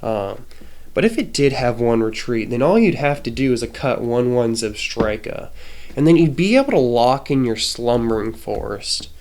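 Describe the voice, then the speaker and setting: A man's voice: mid-range voice, expressive, normal speed, a little mellow. A man with a nasal, stuffy voice from a cold, casually explaining a card game deck with many hesitations. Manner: hesitant, frequent ums and restarts. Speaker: trading card game content creator.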